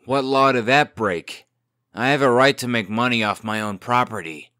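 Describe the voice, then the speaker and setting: A man's voice: medium pitch, moderate pace, sharp tone, no echo, clean studio sound, natural voice. A man playing a cocky, glib and defensive young fairy-tale trickster in a comic dub drama. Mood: cocky, indignant.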